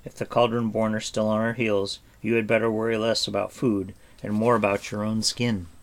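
A man's voice: on the low side, flat delivery, moderate pace, natural voice. A man voicing an earnest young pig-keeper hero speaking urgently.

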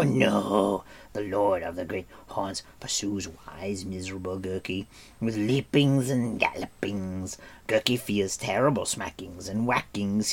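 A man's voice: fairly low pitch, breathy edge, exaggerated voice. A man voicing a whining, grovelling, self-pitying creature in a wheedling sing-song for a storybook.

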